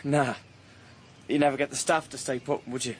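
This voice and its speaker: An actor playing a cheeky working-class young dreamer in a radio drama, bantering in colloquial speech. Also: male voice, medium pitch, little pitch movement, moderate pace, a little hiss or hum, natural voice. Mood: playful, dreamy.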